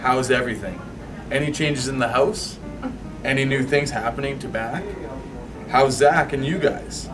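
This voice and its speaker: A man's voice: medium pitch, a little slow. A man reading a child's letter aloud. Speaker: staff member reading aloud. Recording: distant mic with music. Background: music.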